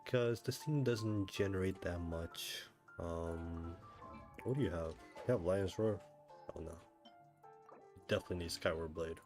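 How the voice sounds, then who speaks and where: Deep male voice, casual gaming streamer, rambling, self-questioning, music behind. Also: a little slow, darkish tone. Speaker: livestreamer, gamer. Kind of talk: casual livestream chat while playing a game.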